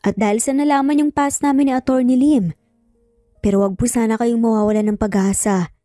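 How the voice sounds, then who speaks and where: Woman playing a firm, high-voiced lady lawyer. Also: steady tone, brisk, mellow, no echo, studio quality, faint music behind, natural voice. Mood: determined, reassuring. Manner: emphatic, earnest.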